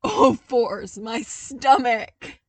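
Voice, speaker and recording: A woman plays a dry young man, a trainee who grumbles and teases. He speaks bluntly at a slow pace, slightly off the mic. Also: a little high, darkish tone, no room sound, distant mic, pitched above the performer's own voice. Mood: breathless amusement. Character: blunt, wry young male trainee, grudgingly playful.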